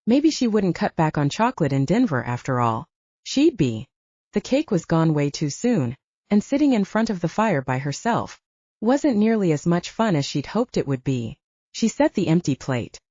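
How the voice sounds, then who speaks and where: A woman's voice: lowish voice, dark tone, dry recording. A woman reading a novel aloud as scripted audiobook narration, in a slightly dull recording.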